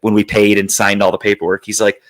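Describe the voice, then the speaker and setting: A man hosting a podcast in casual chat, telling rambling, profane stories in a slightly raspy, worn, phlegmy voice. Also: fairly low pitch, flat delivery, fast talker. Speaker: podcast host.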